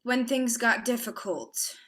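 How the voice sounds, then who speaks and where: A woman's voice: fairly high pitch, takes it slowly, a bit bright. An actress playing a worried, devoted girlfriend in a staged play reading, coaxing and pressing her partner with questions. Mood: distressed.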